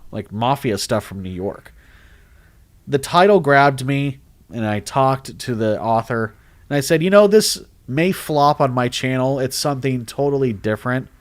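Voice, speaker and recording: A man talks casually to his viewers on a livestream, rambling from one thought to the next. He restarts his sentences often and keeps an animated, chatty energy. His voice sits at a middle pitch. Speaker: horror story narrator and streamer. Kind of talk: casual livestream chat reading comments.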